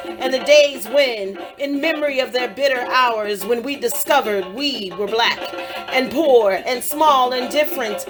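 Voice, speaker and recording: A woman reciting poetry in a solemn, dramatic style, with deliberate, measured phrasing and pauses between short phrases. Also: on the high side. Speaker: poetry reader. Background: African drum music.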